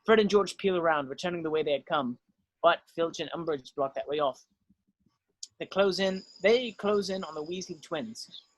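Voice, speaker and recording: A man's voice: fairly high pitch, normal speed, poor audio. A man narrating stage directions in an amateur screenplay table read.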